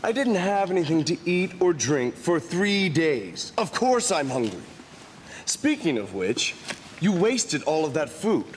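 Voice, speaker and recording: An actor playing a shady, wisecracking adventurer in a game cutscene, chatty and sarcastic. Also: male voice, a little high, very animated, measured pace, slight background noise. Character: wisecracking shady male adventurer, middle-aged. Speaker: game character.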